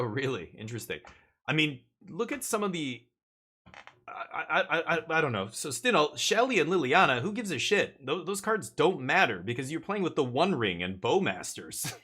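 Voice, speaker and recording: A man's voice: fairly high pitch, pushed and bright. A man from Canada chatting casually on a live stream while gaming, opinionated and blunt, swearing and stumbling over repeated words as he rants.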